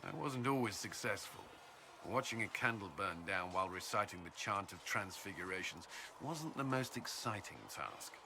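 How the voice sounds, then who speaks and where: A man's voice: on the low side, lively intonation, noisy background. An actor playing an earnest, dutiful military commander in a fantasy video game, a little hesitant in his speech. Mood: reflective, sincere. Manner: hesitant, halting when flustered.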